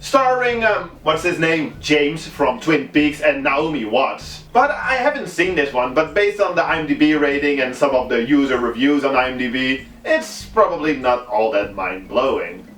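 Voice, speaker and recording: A man's voice: a little high, a bit bright. A man from the Netherlands with a Dutch accent, a native Dutch speaker reviewing horror movies in English with wry, self-deprecating asides. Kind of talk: scripted movie review commentary.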